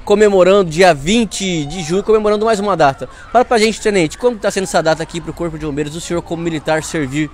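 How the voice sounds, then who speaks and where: A man's voice: sits high, fast, few pauses, slight background noise. A young man with a Brazilian accent reporting and interviewing on location outdoors, speaking into a small mic held close. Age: young adult. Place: outdoors in front of a fire station. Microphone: small handheld clip mic held near the mouth.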